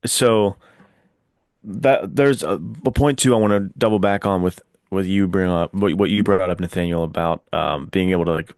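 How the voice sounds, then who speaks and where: Deep-voiced, slangy man chatting casually. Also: moderate pace, dry recording, clean studio sound. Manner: slangy, excitable. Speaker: podcast host or panelist. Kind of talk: casual enthusiastic book chat.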